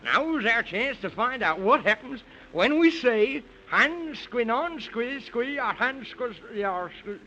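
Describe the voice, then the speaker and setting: A fussy, excitable Man in the Moon for an old radio children's serial. The voice is very high and swoops in a lively, folksy way. The recording is dull and noisy. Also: slow pace, few pauses, heavily acted.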